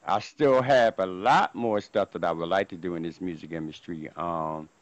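A man's voice: deep voice, normal speed, highs cut off. A middle-aged man talks over a video call from a room at home, looping back over his own words. The sound is dry and close, with no room echo. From Philadelphia, USA. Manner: rambling, repeats himself. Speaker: guest musician. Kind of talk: casual interview. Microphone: video call with earphone headset.

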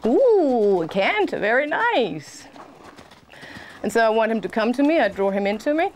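A woman horse trainer giving quick, encouraging commentary while working a horse in an outdoor sand arena. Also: a little high, sing-song, a little hiss or hum.

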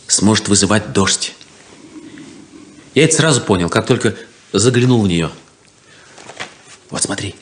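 An actor playing a naive, eager young farm lad in a 1970s radio play, earnest and emphatic. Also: male voice, mid-range voice, close to an everyday voice. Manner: earnest, wide-eyed, emphatic.

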